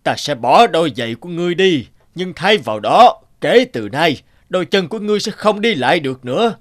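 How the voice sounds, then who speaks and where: A old cartoon sorcerer, theatrical and stern, with wide swings in pitch. His comes through in a close, dry recording. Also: big pitch swings, no echo. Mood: menacing, stern. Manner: slow, theatrical, pronouncing. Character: stern old sorcerer, sly, menacing and condemning. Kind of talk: cartoon fairy-tale dubbing.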